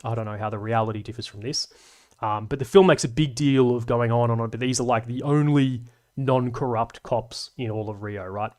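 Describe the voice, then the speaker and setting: A man's voice: mid-range voice, brisk, a little mellow. A young man hosting a live stream, rambling and swearing casually as he reads chat and laughs along.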